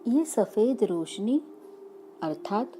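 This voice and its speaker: A woman leading a guided meditation in soothing short phrases with long pauses between them. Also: mid-range voice, animated, warm tone. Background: background music.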